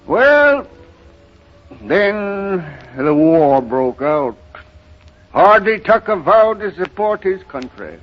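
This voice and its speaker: Old country grandfather: rural American, folksy and sorrowful, unhurried halting delivery, vintage radio play, dull recording. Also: sits high, sing-song, soft background music, exaggerated voice. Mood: wistful, sorrowful. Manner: halting, rustic, dramatic storytelling. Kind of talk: 1930s radio drama acting. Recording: muffled, noisy 1930s radio recording.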